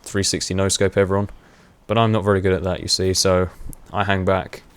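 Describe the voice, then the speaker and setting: A young man with a fairly low voice talks over his gameplay in a loose, rambling way. He pauses on fillers and laughs often, and his voice is wearing hoarse. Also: level tone. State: voice going hoarse. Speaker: gaming commentator. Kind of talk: casual gameplay commentary.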